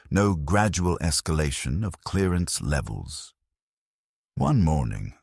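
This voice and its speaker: Very deep-voiced male storyteller. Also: husky, no echo, clean studio sound. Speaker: narrator. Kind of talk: scripted first-person story narration.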